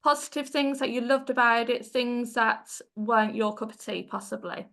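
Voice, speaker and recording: A woman with a fairly high voice leads the interview. She asks questions in a friendly, chatty way and laughs easily between them. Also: steady tone, moderate pace, vocal fry, a bit bright. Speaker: interviewer host.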